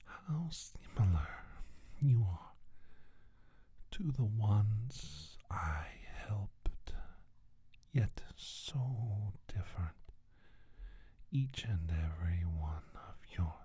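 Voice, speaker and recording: A man playing a menacing, smug alien scientist in a soft-spoken ASMR roleplay, talking in a deliberate, condescending way. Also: on the low side, big pitch swings, breathy edge, no room sound, heavily acted. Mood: coolly clinical.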